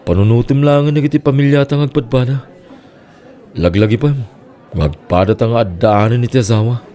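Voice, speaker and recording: A man's voice: medium pitch, moderate pace, warm tone, close to an everyday voice. A man with an Ilocano Filipino accent playing a mild, conversational village everyman in a radio comedy drama. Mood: apologetic, earnest.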